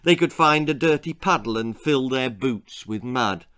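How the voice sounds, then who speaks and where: A man in his sixties reading a children's story aloud in an expressive, theatrical way. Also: medium pitch, a little slow. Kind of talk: dramatic children's story narration. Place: small book-lined room indoors.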